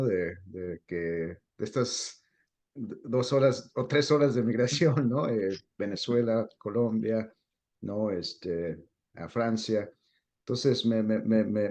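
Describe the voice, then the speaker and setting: A man's voice: on the low side, a little mellow. A man interviewing an author, speaking hesitantly, with many fillers and repeated words. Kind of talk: interview questions.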